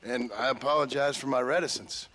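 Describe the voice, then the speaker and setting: A man's voice: medium pitch, steady tone, brisk, a bit bright, a little hiss or hum, natural voice. A man voicing a terse, wounded gunslinger, dry and polite, guarded in his words. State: recovering from a gunshot. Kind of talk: video game cutscene dialogue.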